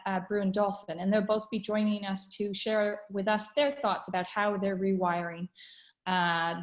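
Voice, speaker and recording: A woman from Canada hosting an online webinar, upbeat, with frequent fillers and pauses. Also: medium pitch, echoey room. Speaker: webinar host. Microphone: video call.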